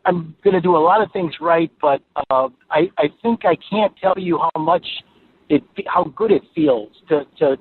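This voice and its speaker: Halting man on thin phone-like line. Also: fairly high pitch, breathy edge, dry recording. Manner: halting, repeating words. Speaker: advertisement testimonial giver. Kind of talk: conversational testimonial.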